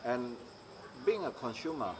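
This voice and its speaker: A middle-aged man from Indonesia, speaking haltingly in an interview and often restarting as he searches for words. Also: medium pitch, big pitch swings, a crowd in the background. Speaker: interviewee, maker. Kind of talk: casual interview talk. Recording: clear background noise, insects.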